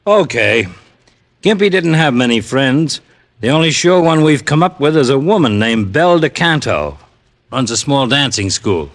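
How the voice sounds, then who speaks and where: Animated, grudging police detective. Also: male voice, medium pitch, moderate pace. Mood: resigned. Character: grumbling but cooperative male police detective. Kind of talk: 1940s-50s radio drama acting.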